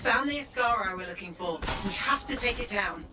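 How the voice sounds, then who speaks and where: A woman's voice: mid-range voice, expressive, a little fast, close to an everyday voice. Woman playing a wry game mission guide. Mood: focused. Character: dry, practical female mission guide. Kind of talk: cinematic video game acting. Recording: loud music behind the voice.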